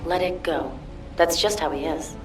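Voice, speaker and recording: Woman acting as a cool, low-voiced female fighter. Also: moderate pace, faint music behind, close to an everyday voice. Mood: cool, matter-of-fact. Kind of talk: video game cutscene acting.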